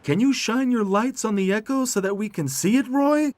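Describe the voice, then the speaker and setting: A man voicing an excitable, puzzled young talking tractor in a children's storybook. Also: high voice, moderate pace, pitched above the performer's own voice, close to an everyday voice. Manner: exclaiming, bewildered.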